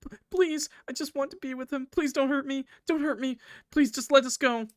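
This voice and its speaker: A man's voice: very high-pitched, moderate pace, no room sound, studio quality, pitched above the performer's own voice. A man voicing a frightened young woman from a visual novel, stammering and pleading. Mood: terrified pleading. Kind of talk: visual novel character read aloud.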